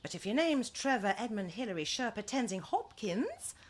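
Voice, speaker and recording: A woman's voice: on the high side, very animated, normal speed. An actress in a radio comedy play as a gushing, evasive, put-upon British woman running a dating agency, with theatrical, affected politeness. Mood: exasperated.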